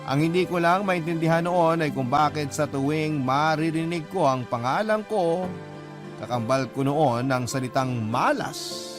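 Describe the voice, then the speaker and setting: A man's voice: on the high side, a little slow. A man with a Filipino accent narrating an emotional story in the style of a classic radio program. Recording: prominent music behind the voice.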